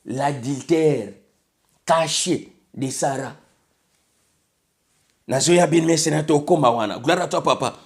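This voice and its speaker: A man's voice: fairly high pitch, a little slow, pauses often. A man from Congo with a Congolese French accent, preaching an emphatic sermon in which he keeps repeating a set phrase. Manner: emphatic, repeats "bien aimé le Seigneur", switches between French and Lingala.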